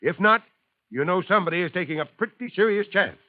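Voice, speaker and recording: A steady, fatherly fire chief in a 1950s radio drama, speaking with calm, kindly authority. The voice sits mid-range and sounds thin and tinny, as if heard over an old telephone line. The sound is completely dry. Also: high voice, fast, dry recording.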